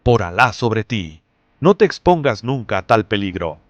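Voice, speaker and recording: A man playing a protective, worried father and vizier in a dramatized audio story, speaking gravely and cautioningly. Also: on the low side, sing-song, normal speed, no room sound, dull audio. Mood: alarmed, warning.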